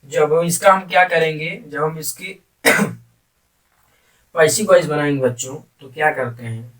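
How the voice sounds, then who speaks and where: A man's voice: on the high side, a little slow, fairly bright tone. A man teaching grammar like a classroom teacher, repeating key phrases and putting questions to his students. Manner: repetitive, question-and-answer teaching, addressing students. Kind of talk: classroom-style grammar lesson in Hindi with English terms. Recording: distant microphone.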